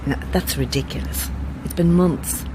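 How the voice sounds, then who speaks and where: An actress playing a sceptical, guarded horror novelist in a naturalistic audio drama, speaking in a dry, curt way. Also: female voice, lowish voice, moderate pace, background noise, lightly acted.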